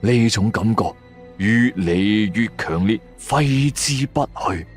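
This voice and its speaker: A male narrator with a Cantonese accent tells a dramatic story in the first person. His pitch moves widely and his delivery is colloquial and theatrical, in the manner of a radio drama. Music plays softly behind him. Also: lively intonation, takes it slowly.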